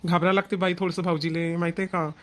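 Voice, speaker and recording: Guy doing a young cartoon son, super fast high voice, bit naggy, vidarbha marathi accent. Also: little pitch movement, a bit bright. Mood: dutiful. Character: young married son, dutiful, mildly nagging. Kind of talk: amateur family cartoon voice acting.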